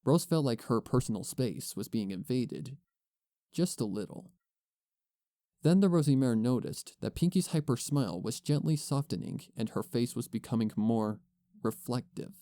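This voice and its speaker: A man's voice: mid-range voice, expressive, normal speed, dark tone, no echo, studio quality. A man giving scripted storybook narration as the narrator of a fan fiction audio reading. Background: music.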